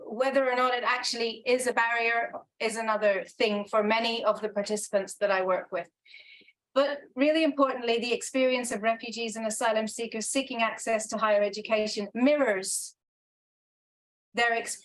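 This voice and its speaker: A woman's voice: on the high side, poor audio. A woman researcher giving a conference talk, earnest and reflective, with frequent restarts and filler sounds. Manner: hesitant, many restarts and ums. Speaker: academic researcher presenting.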